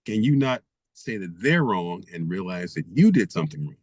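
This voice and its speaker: A man's voice: low voice, sing-song, normal speed, darkish tone, no room sound, clean studio sound. A man guest author in an interview, speaking emphatically and stacking rhetorical questions. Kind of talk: interview conversation.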